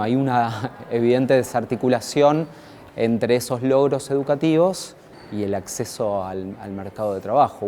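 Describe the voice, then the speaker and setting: A man with an Argentine accent gives a reflective academic talk in a dark, mellow voice at an average pitch. He halts, repeats phrases and searches for words, with faint noise in the background. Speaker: academic speaker. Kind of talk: reflective lecture on education.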